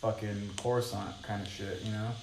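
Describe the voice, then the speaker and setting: A man's voice: fairly low pitch, level tone, normal speed, noisy background. Echoey, slangy man on a podcast. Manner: profane, slangy, says dude often. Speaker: podcast co-host. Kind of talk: casual movie discussion among friends.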